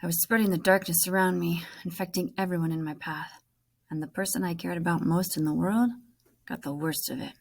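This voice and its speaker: A woman teacher reading a children's novel aloud as narration, dramatic and often dropping to a hush as phrases end. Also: on the low side, steady tone, a little fast, gravelly.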